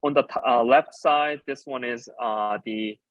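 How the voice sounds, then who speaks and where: Halting male speaker, English not native. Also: medium pitch, no echo, lo-fi sound. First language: Korean. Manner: halting, with frequent filler pauses while explaining. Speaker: designer and ceramicist giving a talk. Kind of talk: conference presentation about slides.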